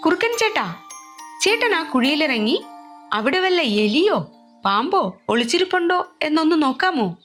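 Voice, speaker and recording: A woman's voice: high-pitched, sing-song, normal speed, a bit bright, faint music behind. A woman voicing a clever young female lamb who pleads politely and coaxingly in a children's storybook tale.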